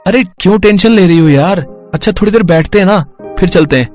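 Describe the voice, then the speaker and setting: A man's voice: high-pitched, brisk, darkish tone, soft background music, lightly acted. A man playing a cocky, manipulative schoolboy boyfriend in a dramatized story, casual, wheedling and dismissive. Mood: coaxing. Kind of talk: dramatized Hindi moral story acting.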